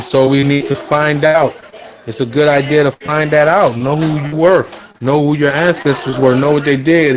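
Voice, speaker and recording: Man, earnest, unhurried, lots of fillers, mid pitch. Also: poor audio. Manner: earnest, unhurried, frequent fillers like "you know" and "um". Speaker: interviewee, spiritual practitioner. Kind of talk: casual conversational explanation.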